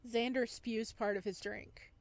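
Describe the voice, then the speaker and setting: A woman's voice: a little high, steady tone, measured pace. A woman gaming streamer with a giggly, playful manner, reading visual novel text aloud and commenting as she plays.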